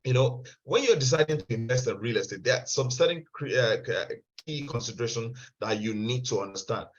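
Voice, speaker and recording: A man's voice: medium pitch, lively intonation, a little fast. A man presenting an online investment seminar, often stumbling and repeating words and checking whether listeners can hear him. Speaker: webinar presenter. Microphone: video call. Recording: dull lo-fi video call audio.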